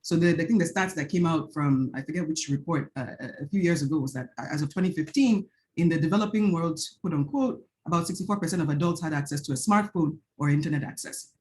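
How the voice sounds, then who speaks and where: A woman's voice: low-pitched, fast, a little mellow, a little hiss or hum, rough recording. Nigerian woman presenting research on a video call. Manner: earnest, frequent "right?" tag questions and restarts. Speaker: panel speaker, researcher. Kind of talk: academic panel presentation.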